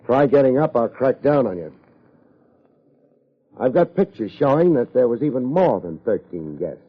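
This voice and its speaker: Husky hard-boiled American private eye. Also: male voice, mid-range voice, big pitch swings, moderate pace. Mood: hard, accusing. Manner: clipped, hard-boiled, sardonic. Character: tough, wry, hard-boiled male private investigator. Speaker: lead actor in a radio detective drama. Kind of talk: 1950s radio detective acting and first-person narration. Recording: muffled vintage radio recording.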